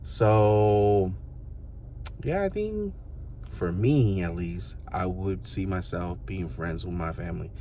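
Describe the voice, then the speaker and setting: Deep-voiced man in his thirties, rambling casually. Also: animated, slow, warm tone, slight background noise. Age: 30s. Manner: rambling, loose, full of fillers such as "you know" and "like". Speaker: vlogger. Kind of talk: casual reflective monologue to the camera.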